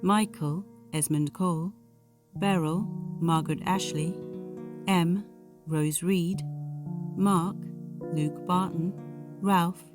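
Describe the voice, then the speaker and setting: A woman announcer reads credits in a low, unhurried voice. Plucked guitar music plays clearly behind her scripted delivery. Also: fairly even intonation.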